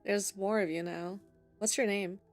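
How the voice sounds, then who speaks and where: A woman's voice: medium pitch, fairly even intonation, measured pace. A woman gamer giving casual let's play commentary and reading dialogue choices aloud. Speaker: gamer playing through a visual novel. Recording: faint game music behind the voice.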